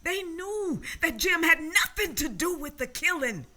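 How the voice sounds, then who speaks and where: A woman tells a grave story for a radio drama, solemn and emphatic. She speaks slowly, and her high, bright voice rises and falls widely. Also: expressive.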